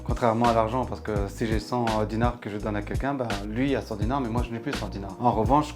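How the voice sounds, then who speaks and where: Middle-aged man, candid and reflective. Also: medium pitch. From France. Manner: thoughtful, candid and outspoken. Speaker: guest. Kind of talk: reflective interview answers. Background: background music.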